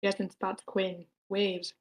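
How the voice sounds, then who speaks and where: A woman's voice: mid-range voice, level tone, takes it slowly. Woman evenly reading screenplay directions. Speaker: stage-direction reader. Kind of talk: scripted narration, screenplay table read.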